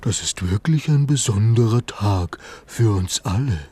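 A man voicing a big, gentle, forgetful hippo in a storybook reading, speaking softly and calmly. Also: on the low side, husky. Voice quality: soft as suede. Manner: slow, calm, hushed.

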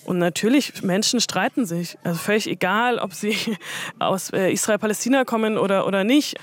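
A woman's voice: mid-range voice, moderate pace. A woman speaking reflectively in an interview about friendship. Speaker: interview guest. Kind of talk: personal conversation.

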